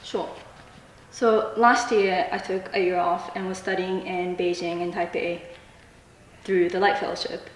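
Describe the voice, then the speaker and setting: A young woman from Japan, a native Japanese speaker, giving thoughtful, measured answers in an interview with pauses. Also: on the low side, flat delivery, slight background noise. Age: young adult. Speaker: student interviewee. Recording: echoey room, mic at a distance.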